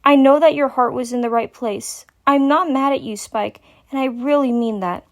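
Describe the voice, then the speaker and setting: Woman as young unicorn mare in a dramatic reading: raised pitch, motherly, soothing, earnest, firm when needed. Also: normal speed. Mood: reassuring.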